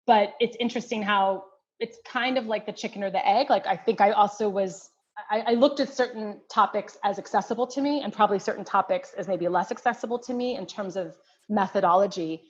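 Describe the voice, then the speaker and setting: A middle-aged woman from the United States speaks as an interview guest, mid-pitched and thoughtful, often restarting sentences and reaching for words. The recording sounds dull and lo-fi. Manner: thoughtful, many restarts and hedging fillers. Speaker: guest anthropologist. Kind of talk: casual interview answer.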